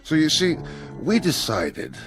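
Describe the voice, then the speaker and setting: An actor playing a courteous, uneasy headmaster in a period TV drama, formal, tactful and hesitant. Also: male voice, a little high, huge pitch swings, unhurried, over music. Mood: placating. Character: courteous, uneasy male headmaster breaking news gently. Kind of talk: period television drama acting.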